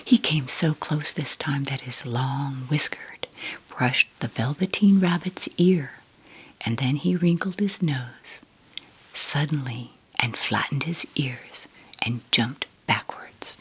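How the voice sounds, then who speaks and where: A woman softly whispering a bedtime story in an ASMR style, taking her time as she narrates. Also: extremely low pitch, breathy edge. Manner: soft, unhurried whispering.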